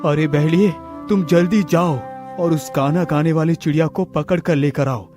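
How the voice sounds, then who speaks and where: Man as a fairly high-voiced indulgent king. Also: fairly even intonation, moderate pace, dark tone, faint music behind, natural voice. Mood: commanding. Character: indulgent king giving orders. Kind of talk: children's cartoon story voice acting.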